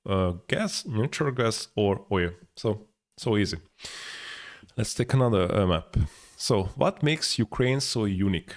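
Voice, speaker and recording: A man teaches from a map in a lowish voice. He speaks in halting bursts, filling pauses with "uh" and correcting himself as he goes. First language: Hungarian. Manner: halting, many fillers and self-corrections. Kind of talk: explaining over a map.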